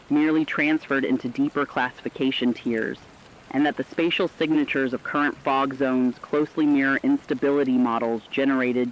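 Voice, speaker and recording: A man playing a grave radio co-anchor, reading a scripted report in a formal, ominous, deliberate way. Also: mid-range voice, lightly acted. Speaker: news co-anchor. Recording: dull lo-fi broadcast with faint rain noise.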